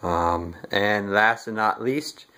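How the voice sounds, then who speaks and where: A young man giving a casual walking tour of his house, relaxed and halting with lots of fillers, recorded on a handheld phone indoors. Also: lowish voice, a little hiss or hum. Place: inside a house, walking room to room. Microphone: handheld phone camera, filming rooms.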